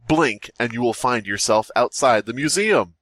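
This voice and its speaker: A man's voice: medium pitch, expressive, normal speed, a bit bright, dry recording. A man co-hosting a comedy podcast, bantering casually, reading creepy stories aloud and jokingly slipping into mock quotes. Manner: jokey, slips into mock quotes. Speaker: podcast co-host.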